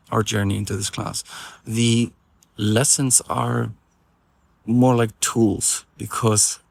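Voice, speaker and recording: A man from Iceland teaching an online filmmaking class in a relaxed, gently encouraging way, with some fillers when he talks off the cuff. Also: mid-range voice, gravelly, slightly rough. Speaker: film teacher. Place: outdoors in nature. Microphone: phone recording nearby.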